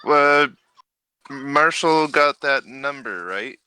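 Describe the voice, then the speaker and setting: A man's voice: medium pitch, lively intonation, slow, bright, forceful tone, smooth, dry recording. A man running a tabletop game, chatting casually with his players as game master.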